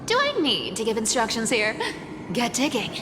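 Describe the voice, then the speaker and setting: A woman's voice: on the high side, wildly swooping pitch, normal speed, music in the background. A woman playing a sly, bossy, playful treasure-hunting thief in a video game, teasing, breezy and dramatic. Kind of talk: video game character acting.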